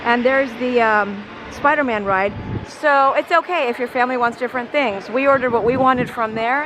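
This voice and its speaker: A woman travel vlogger, a bit hoarse from losing her voice, chatting step by step through a theme park visit. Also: on the high side, rough recording. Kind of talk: casual vlog narration. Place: busy theme park, indoors and out. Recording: crowded park noise with music. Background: crowd chatter and music.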